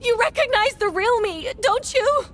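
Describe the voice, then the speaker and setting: A woman's voice: very high-pitched, lively intonation, moderate pace, a little hiss or hum. A woman voicing an imperious female knight in a video game, accusing theatrically and growing frantic. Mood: desperate. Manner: theatrical, forceful courtroom accusing. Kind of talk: dramatic video game voice acting.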